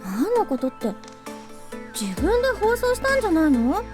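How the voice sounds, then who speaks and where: A woman voicing a cute, pert young anime woman in a Japanese visual novel, expressive and teasing. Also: extremely high pitch, quick pace, heavily acted. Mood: puzzled, annoyed. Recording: game audio under clear music. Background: game music.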